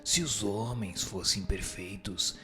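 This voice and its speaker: Male actor as grave spirit teacher, low-pitched, very slow formal delivery for radio drama. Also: music in the background. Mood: firm, admonishing. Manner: formal, didactic, grave oratory. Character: solemn male spirit teacher answering questions. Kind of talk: scripted dramatised reading of a spiritist text.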